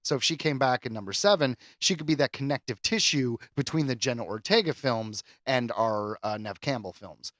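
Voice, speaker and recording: A man co-hosting a podcast, chatting casually and rambling through animated asides. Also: medium pitch, normal speed, few pauses, no room sound, clean studio sound. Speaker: podcast co-host. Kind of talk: casual chat about horror films.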